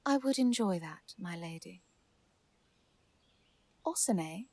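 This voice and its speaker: A woman's voice: lowish voice, animated, slow pace, mellow, no echo, clean studio sound, full character voice. A woman playing a genial druid lady's companion in a fantasy audio drama, formally worded yet playful. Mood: amused. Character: genial, teasing female druid companion to a lady.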